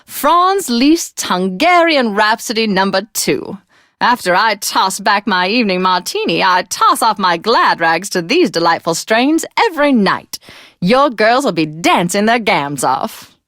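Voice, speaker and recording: Flamboyant, lively classical radio DJ lady. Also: mid-range voice, measured pace, no echo, clean studio sound. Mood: playful, indulgent. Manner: theatrical, affected, gushing, old-time slang and endearments. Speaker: radio host. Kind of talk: old-fashioned radio DJ patter for a video game.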